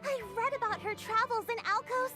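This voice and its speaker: Super high bouncy voice, sweet-but-catty alchemist girl over game music. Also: a little fast. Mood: cheery catty. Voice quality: sweet, bubbly and quietly cutting. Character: perky, catty young woman alchemist. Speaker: visual novel character.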